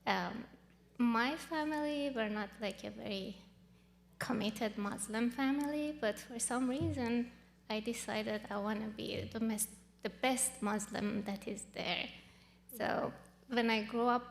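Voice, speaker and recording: Woman from Iran, earnest and halting, gravelly. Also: fairly high pitch, big-room sound. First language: Farsi. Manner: earnest, halting with many fillers. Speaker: guest. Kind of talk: personal testimony interview.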